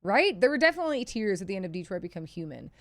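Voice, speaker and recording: A woman's voice: medium pitch, sing-song, racing, no room sound. Casual woman streamer, dry close sound. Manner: candid, chatty, self-deprecating, swears freely. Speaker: livestreamer answering viewer questions. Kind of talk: casual livestream Q&A chat. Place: indoors, seated at a PC.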